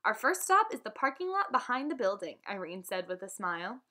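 A woman teacher reading a children's book aloud to students, with lively, expressive delivery and voices for the dialogue. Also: mid-range voice, fairly quick.

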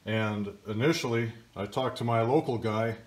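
A man's voice: medium pitch, normal speed. Older man explaining a pump repair. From Michigan, US. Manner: plain, practical, step-by-step explaining. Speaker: DIY video host. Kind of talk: casual how-to narration. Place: workshop, table of pump parts.

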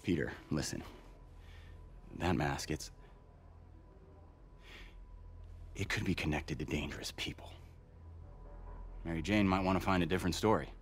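An actor playing a calm, kindly but guarded middle-aged mentor in a video game, measured and gently cautioning. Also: male voice, low voice, close to an everyday voice. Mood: grave, cautioning. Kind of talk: video game cinematic acting.

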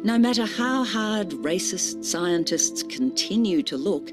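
A narrator reading a scripted documentary voice-over in a grave, measured way. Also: medium pitch, animated. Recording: narration over loud music.

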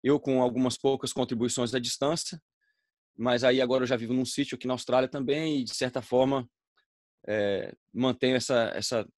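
A man's voice: medium pitch, dry recording, studio quality. A Brazilian man introducing himself on a web seminar, talking casually and hesitantly with fillers. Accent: Brazilian Portuguese. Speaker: panelist. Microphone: video call.

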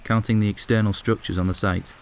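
A man's voice: fairly low pitch, flat delivery, brisk, vocal fry, a little hiss or hum. A man with a Northern English accent reading a scripted voice-over about a site he explored. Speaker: urban explorer narrator.

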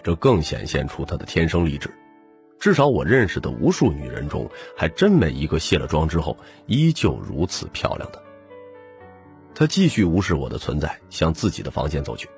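A man's voice: very deep voice, huge pitch swings, moderate pace, creaky, darkish tone, faint music behind. A man narrating a novel in the first person as a scripted audiobook.

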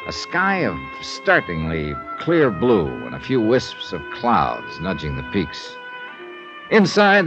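A wry American male investigator, speaks in a low voice with animated swings. He is clipped and sardonic, as in a 1950s crime serial, on a muffled old recording with music. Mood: descriptive. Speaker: lead actor and first-person narrator. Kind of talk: 1950s radio crime drama acting.

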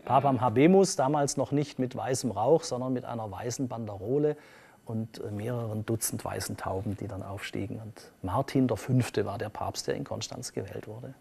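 A man being interviewed about history outdoors, explaining in a relaxed way with fillers. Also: medium pitch, moderate pace, creaky, slightly rough.

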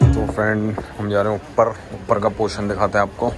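A man's voice: on the low side, completely flat delivery, a little fast. A young man casually narrating a vlog in informal, slangy speech at a cafe. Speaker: vlogger. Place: cafe with terrace. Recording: loud music over the voice. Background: loud cafe music.

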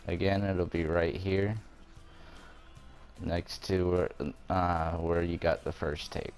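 A man's voice: deep voice, level tone, takes it slowly, darkish tone, soft background music. A man giving a casual video game walkthrough, explaining things step by step in an informal way. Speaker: gamer presenting a walkthrough.

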